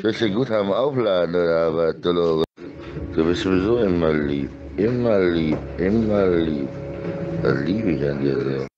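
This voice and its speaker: A man's voice: low-pitched, animated, normal speed, dull audio, a crowd in the background. A middle-aged man in a casual, rambling monologue, insistent and disjointed, repeating himself, speaking close into a phone.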